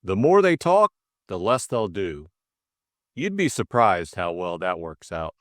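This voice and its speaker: Man playing a stolid, impassive police superintendent, lively pitch. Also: no echo, clean studio sound. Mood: stolid, cautious. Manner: measured, impassive, plain-spoken. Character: stolid middle-aged police superintendent, wooden, cautious, unflappable.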